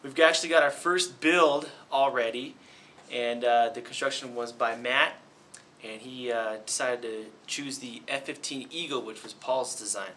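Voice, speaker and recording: A young man hosting a casual desk interview as a flying instructor, relaxed and encouraging, with lots of ums and uhs. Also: medium pitch, moderate pace, a little hiss or hum. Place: small indoor studio set at a desk.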